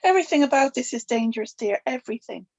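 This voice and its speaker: Woman playing a high-pitched stern witch grandmother. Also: expressive, quick pace, darkish tone, dull audio. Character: stern, evasive witch grandmother guarding family secrets. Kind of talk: table read of a TV pilot script.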